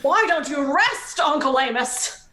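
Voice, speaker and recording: A woman's voice: high-pitched, animated, unhurried, background noise. A woman playing an anxious, pleading niece in radio melodrama, exclamatory and emotive, in an echoing room. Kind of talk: old-time radio play acting. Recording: roomy, echoing sound.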